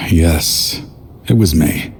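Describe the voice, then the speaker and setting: An actor playing a weary, regretful older man in a video game, calm and grave, with measured delivery. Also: extremely low pitch, slight background noise, full character voice. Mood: resigned. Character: weary, regretful old man, calm former justice seeker.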